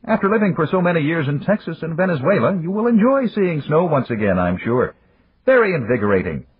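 A man putting on a calm, philosophical old industrialist. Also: a little high, slight background noise, pitched above the performer's own voice. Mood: measured, amiable. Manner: measured, courteous, gently lecturing. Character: older Japanese male industrialist, round, calm, philosophical. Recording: tinny, phone-like lo-fi.

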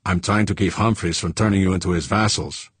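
A man's voice: brisk, breathy edge, no echo. Grim, stubborn rebel, done by a male narrator, dry and close. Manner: clipped, low and intense. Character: hard, stubborn space-rebel man.

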